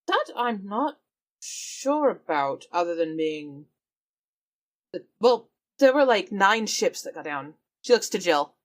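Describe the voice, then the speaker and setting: A woman's voice: mid-range voice, big pitch swings, slow pace. A woman playing a tabletop game, talking in character and describing what her character does. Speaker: player.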